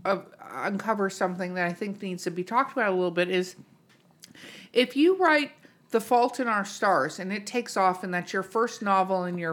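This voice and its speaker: A woman's voice: medium pitch, a bit bright. A woman hosting a podcast in casual chat, irreverent and swearing freely. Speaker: podcast host.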